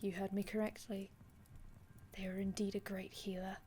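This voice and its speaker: A woman's voice: medium pitch, little pitch movement, normal speed, mellow, close to an everyday voice. Woman voicing ancient vampire healer, ASMR roleplay, formal archaic phrasing, courteous, hesitant, soothing, faintly noisy ambient recording. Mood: soft, reflective. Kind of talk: ASMR roleplay, addressing the listener.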